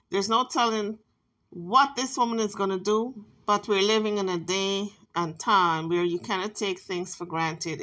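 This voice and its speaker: Bright-voiced scolding woman commentator. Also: mid-range voice. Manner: emphatic, scolding, reads messages aloud. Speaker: commentary channel host. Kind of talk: casual gossip commentary.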